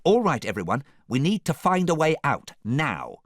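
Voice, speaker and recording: A man's voice: high voice, very animated, takes it slowly, no room sound, clean studio sound, voice pushed up, exaggerated voice. A man voicing a determined, bossy young ogre princess in a storybook, speaking emphatically. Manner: emphatic, commanding.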